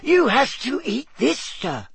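Tiny squeaky house elf for a storybook, raspy and super swoopy, eager servant, urgent and earnest. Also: male voice, huge pitch swings, slow pace, a bit bright, no room sound, exaggerated voice. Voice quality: squeaky, husky. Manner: servile, urgent, refers to himself in third person.

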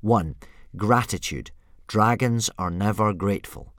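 A man's voice: lowish voice, a little slow, no room sound, studio quality, lightly acted. A man voicing a frustrated boy trying to train his stubborn dragon. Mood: reasoning. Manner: pleading, exasperated.

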